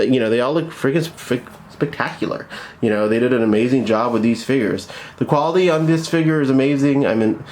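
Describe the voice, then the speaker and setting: Male review host, medium pitch, casual and enthusiastic, informal delivery. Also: darkish tone.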